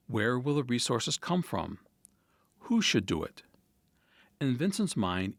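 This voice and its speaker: A man reading a written essay as a priest, in a measured, deliberate and formal way. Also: fairly low pitch, no echo.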